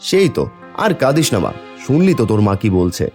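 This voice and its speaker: An actor playing a kindly, concerned village father and husband, caring and expressive, in a fairy-tale cartoon. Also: mid-range voice, quick pace, soft background music.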